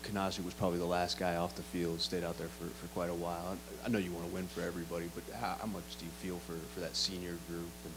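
A man's voice: low voice, flat delivery, fast, few pauses, over music, low-quality recording. A man asking a question as a reporter at a press conference. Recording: clear hum and noise, off-mic.

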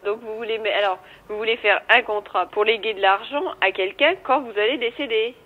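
High-voiced woman on a tinny phone line. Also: a little fast. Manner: firm, protesting, explanatory. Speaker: insurance office receptionist. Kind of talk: telephone conversation with a caller. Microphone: telephone. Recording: tinny narrow telephone line.

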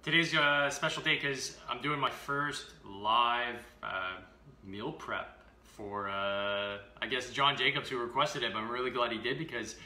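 A man's voice: medium pitch, steady tone, a little slow, sharp tone. A man in his thirties casually demonstrating meal prep in his home kitchen, chatty and upbeat with lots of fillers, picked up by a camera a few feet away. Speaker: fitness vlogger. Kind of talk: casual live-stream demo. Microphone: camera mic from a few feet away. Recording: roomy kitchen echo.

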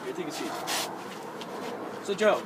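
A man's voice: on the high side, expressive, unhurried. A teenage boy playing a gushing male TV sports reporter who heaps over-the-top praise on his guest. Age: teens. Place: outdoor park shelter. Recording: distant camera, outdoor noise.

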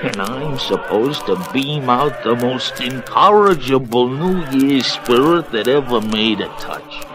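Theatrical male radio narrator man acting, pompous and unhurried, very animated intonation, vintage scratchy-disc sound. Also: mid-range voice, close to an everyday voice. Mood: exasperated. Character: grumbling, theatrical male narrator of a Christmas parody. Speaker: radio actor. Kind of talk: 1930s-40s radio acting. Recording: old scratchy acetate disc recording.

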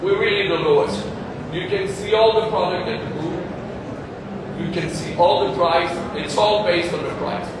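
Canadian founder, halting English pitch, loud room hum. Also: male voice, distant mic. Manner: halting non-native English, plain short sentences. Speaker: company founder presenting. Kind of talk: stage product pitch. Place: large convention hall stage. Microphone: far phone camera in audience. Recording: echoey hall recorded from the audience.

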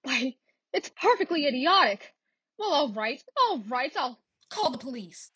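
A woman's voice: high-pitched, very animated, a little slow, bright, forceful tone, dry recording, exaggerated voice. A woman playing a nervous, imperious married invalid in a radio thriller, insistent and easily exasperated. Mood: indignant. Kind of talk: classic radio thriller acting. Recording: dull, lo-fi dry audio.

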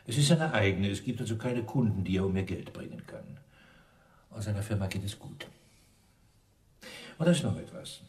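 An actor playing a dogged, sarcastic police inspector who questions in a probing, dry way, in radio crime drama style. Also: male voice, fairly low pitch, lively intonation, normal speed, pauses often, far from the mic, natural voice. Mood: sardonic, pressing.

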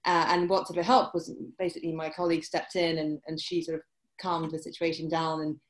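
British woman, forties, lowish voice, halting restarts, laptop webcam mic indoors. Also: little pitch movement, low-quality recording. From UK and France. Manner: hesitant, many restarts and fillers. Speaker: guest, journalist. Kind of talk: casual interview answers. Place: indoor room, video call.

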